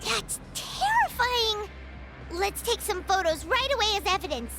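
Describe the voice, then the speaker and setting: Game companion role: little fairy girl, very squeaky, excitable and quick to indignation, with bouncing melodic delivery. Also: loud music behind the voice, heavily acted. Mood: alarmed, determined. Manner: exclamatory, expressive. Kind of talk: video game voice acting.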